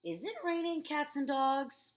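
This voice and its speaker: Woman as an excited, high-pitched little boy. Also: unhurried. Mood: curious excitement. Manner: bouncy, exclaiming, chirpy. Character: eager, excited little boy who loves rain.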